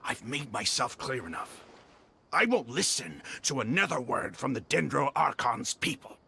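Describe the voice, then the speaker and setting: An actor playing a proud, hostile, zealous desert mercenary leader in a video game, scornful and grandiose in a theatrical way. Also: male voice, medium pitch, big pitch swings, moderate pace, creaky, pushed and bright, slight background noise, full character voice. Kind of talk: dramatic video game voice acting. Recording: background music under the voice.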